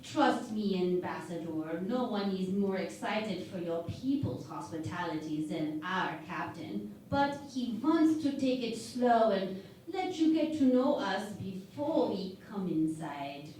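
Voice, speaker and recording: A woman's voice: medium pitch, expressive, a little mellow. A woman playing a breezy, suggestive chief medical officer aboard a starship in a parody radio play. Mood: smooth, official. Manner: unhurried, suggestive, breezily reassuring. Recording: very echoey room, distant mic, faint noise.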